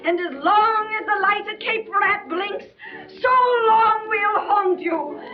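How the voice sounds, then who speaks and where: A woman's voice: extremely high pitch, slow pace. An actress in a 1930s radio drama playing a vengeful young woman who sobs and shrieks in theatrical fury. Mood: hysterical. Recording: muffled 1930s radio transcription.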